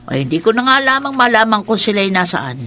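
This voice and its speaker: An actress playing a feisty, doting elderly grandmother in a radio drama, teasing and bossy in a lively way. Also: mid-range voice, fairly quick, slight background noise. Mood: reminiscing. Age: older. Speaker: grandmother character.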